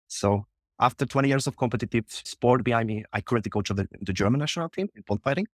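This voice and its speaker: A man in his thirties from Hungary, speaking English with a Hungarian accent, a kickboxing coach answering interview questions in a modest, halting way. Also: medium pitch, no echo, studio quality.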